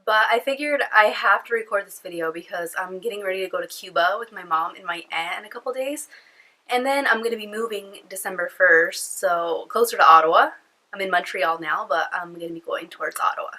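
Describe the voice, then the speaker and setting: A woman's voice: medium pitch, fairly quick, pushed and bright, low-quality recording. A young woman with a cold-stuffed, nasal voice, chatting casually to camera from home in a rambling vlog full of fillers. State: congested from a cold. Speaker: beauty vlogger. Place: at home indoors.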